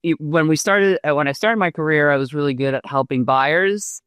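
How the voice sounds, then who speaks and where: Woman in her 40s from Israel, interview guest, smooth and low, measured with fillers, dry sound. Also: no echo. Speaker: real estate agent, guest. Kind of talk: casual interview answers.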